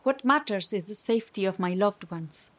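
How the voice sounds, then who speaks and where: A woman's voice: medium pitch, lively intonation, normal speed, no echo, close to an everyday voice. A woman playing an earnest migrant mother making short declarations in a radio play.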